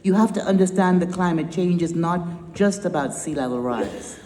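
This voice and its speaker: A woman's voice: fairly low pitch, animated, moderate pace, background noise. A woman from Trinidad with a Trinidadian accent, founder of a nonprofit giving an emphatic, rousing speech with wry humor. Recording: echoey hall with long reverb.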